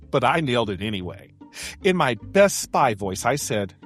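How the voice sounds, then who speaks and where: A woman playing a theatrical, excitable, food-loving adventurer with a flowery, melodramatic way of talking in a sci-fi audio drama. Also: a bass voice, normal speed, faint music behind. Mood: dramatic recounting. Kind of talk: science fiction audio comedy drama.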